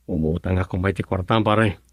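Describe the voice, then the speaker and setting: An actor playing an easygoing, broke husband who works construction, in a radio drama. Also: male voice, low voice, brisk, dry recording.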